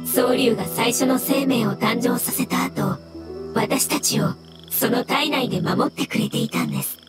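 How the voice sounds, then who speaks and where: A woman voicing a gentle floating mushroom spirit in a game, with formal, measured and courteous speech. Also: on the high side, expressive, over loud music, natural voice. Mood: solemn. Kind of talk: scripted game dialogue.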